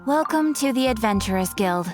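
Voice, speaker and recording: A woman's voice: fairly high pitch, lively intonation, normal speed, natural voice. A woman voicing a courteous, composed guild receptionist in a video game, speaking formally. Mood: composed, helpful. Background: background music.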